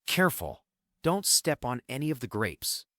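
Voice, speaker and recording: A man playing a wistful, grieving stage magician with a gentle sense of humor in a script read of a musical play. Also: medium pitch, big pitch swings, normal speed, dry recording, studio quality. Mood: playful.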